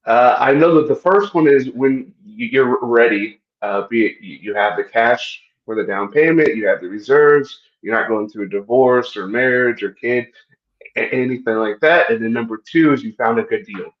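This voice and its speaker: A man's voice: medium pitch, normal speed. Host man, mid voice, stop-start answers, echoey muffled remote sound. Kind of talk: casual podcast chat. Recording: roomy, dull remote audio.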